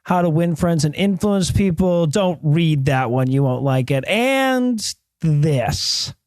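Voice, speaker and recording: A man playing a knowing, deadpan bookstore clerk with a halting delivery in a comedy sketch. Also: a little high, no room sound, studio quality.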